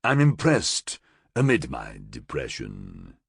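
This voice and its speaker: A man voices a gurgling half-animal monster in an audiobook, sardonic and taunting, dragging each line out. The tone sits deep and husky, with wide swoops of pitch. The room is dead dry. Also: huge pitch swings, slow pace, no room sound, heavily acted.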